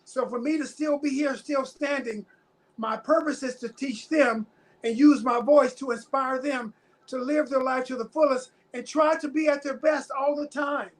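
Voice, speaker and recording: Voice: high-pitched, fairly even intonation, normal speed, low-quality recording. A guest in their fifties giving personal testimony in a casual interview, speaking earnestly and repeating phrases for emphasis. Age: 50s.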